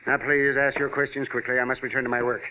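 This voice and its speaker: A man's voice: mid-range voice, flat delivery, quick pace, natural voice. An actor playing a fussy, impatient male cartoonist, prim and formal with hesitations, in old radio drama style. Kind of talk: 1940s-50s radio drama acting. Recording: narrow, noisy vintage radio recording.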